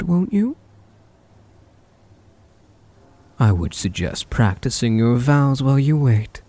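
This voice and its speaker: A man acts out a possessive stalker groom for an ASMR roleplay. His voice is breathy and dark, swooping through sweet endearments that carry quiet menace. It is recorded close and dry. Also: mid-range voice, no room sound, exaggerated voice. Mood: hurried, then threatening. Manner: doting endearments laced with menace. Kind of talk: ASMR roleplay audio drama, addressing the listener.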